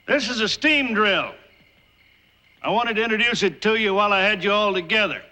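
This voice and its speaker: A man's voice: high-pitched, a little fast, pushed and bright, low-quality recording. An actor playing a brash, blunt railroad boss who declaims like a showman in a television folk-tale drama. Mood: boastful. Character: brash railroad boss showing off his new machine.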